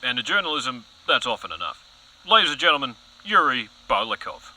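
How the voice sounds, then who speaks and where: A man's voice: medium pitch, big pitch swings, brisk, bright, forceful tone, full character voice, rough recording. Man playing a dramatic, swooping conspiracy-minded news anchor. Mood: conspiratorial. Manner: dramatic, insinuating, earnestly suspicious. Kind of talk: satirical broadcast news audio comedy acting.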